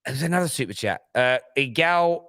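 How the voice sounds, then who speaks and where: A man hosting a fan livestream in a laid-back, chummy way, reading out viewer messages. His voice is smooth and resonant at a middling pitch. The sound is dry and close, with no room around it. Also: lively intonation, moderate pace, fairly bright tone, no echo. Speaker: host. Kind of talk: casual chat, livestream reading super chats.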